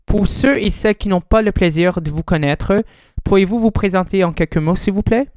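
A man's voice: high voice, moderate pace, darkish tone, no echo. A man hosting a community radio show, asking polite, formal questions from a script. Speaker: radio host. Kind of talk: radio interview.

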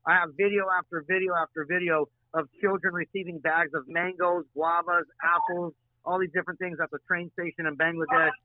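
Thin, phone-like audio carries a high-pitched male podcast guest from Syria. He talks quickly and insistently, repeating phrases for force in an impassioned discussion. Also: little pitch movement, dry recording.

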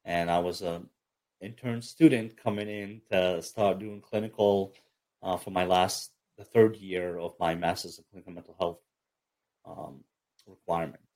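Low-voiced man from Egypt, chatty. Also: moderate pace. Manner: relaxed, chatty, self-deprecating with fillers. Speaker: army chaplain and counselor. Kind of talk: casual interview talk.

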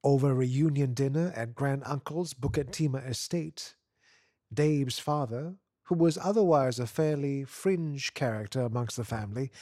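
A man's voice: medium pitch, a little mellow, dry recording, clean studio sound. A man narrating a piece of short fiction aloud in a scripted, literary reading style.

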